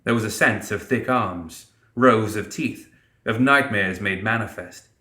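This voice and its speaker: A male audiobook narrator with a fairly low, forceful voice. He reads scripted fiction dramatically, in a room with noticeable echo around the voice. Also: a little slow.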